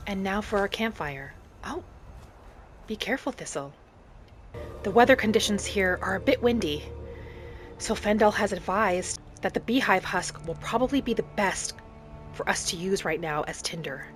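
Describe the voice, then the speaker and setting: A woman plays a gentle wood elf wanderer in a cozy fantasy game. She muses in the first person and asks questions with soft curiosity. Light music sits behind her. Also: medium pitch, expressive, moderate pace, lightly acted. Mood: cozy musing. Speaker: gamer narrating a roleplay as her character. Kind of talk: first-person in-character roleplay narration.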